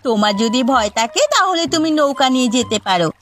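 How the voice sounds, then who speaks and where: A woman voicing a defiant, teasing young village girl in a cartoon, quick to retort. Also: sits high, animated, a bit bright. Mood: saucy. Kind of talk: Bengali cartoon dialogue.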